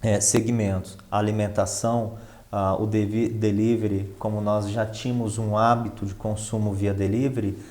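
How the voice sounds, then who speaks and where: A Brazilian man with a fairly low, very mellow and relaxed voice talks as an interview guest. He explains in a conversational, expert way, repeating key phrases for emphasis and posing rhetorical questions. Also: normal speed. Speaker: interview guest, finance professional and professor.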